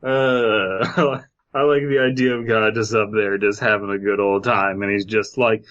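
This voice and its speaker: A man's voice: lowish voice, steady tone, measured pace, fairly bright tone, highs cut off. A man hosting a comedy podcast, chatting casually and riffing with profane humour. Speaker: podcast host.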